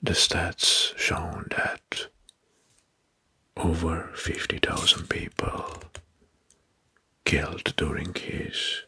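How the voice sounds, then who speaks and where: A man whispers in a very breathy, husky ASMR narration, taking his time between phrases. His delivery is hushed and halting, with words softly repeated, and the whisper has a crisp, bright edge. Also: low voice, flat delivery. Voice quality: breathy, mostly unvoiced whisper.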